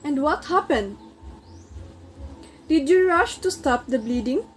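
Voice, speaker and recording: A woman's voice: high-pitched, animated, takes it slowly, soft background music. A woman playing an earnest circulatory system in amateur reader's theater, instructing and demonstrating with formal address.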